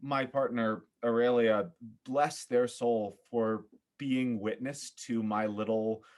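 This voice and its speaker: A man with a medium-pitched voice talks casually as a guest on a podcast. He is expressive and a little theatrical in how he puts things, in an informal conversational style. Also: takes it slowly. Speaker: former actor.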